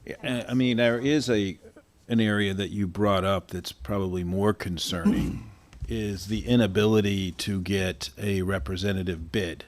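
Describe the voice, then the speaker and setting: Low-voiced American man questioning at a meeting. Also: steady tone, normal speed. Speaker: council committee member. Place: public council meeting room.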